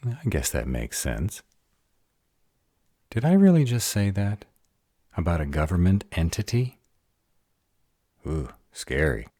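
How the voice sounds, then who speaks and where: A man's voice: low voice, warm tone, dry recording, studio quality, full character voice. An actor playing a sarcastic but protective male were-dragon in an audio roleplay, muttering sardonic asides. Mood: sarcastic surprise.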